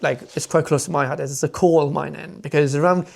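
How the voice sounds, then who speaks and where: A man's voice: fairly high pitch, fairly even intonation, brisk, vocal fry. A young Welsh man, a fluent Welsh speaker, chatting as a podcast guest in a rambling, enthusiastic way full of fillers and restarts. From Wales. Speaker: podcast guest, motoring content creator. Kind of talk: casual interview chat.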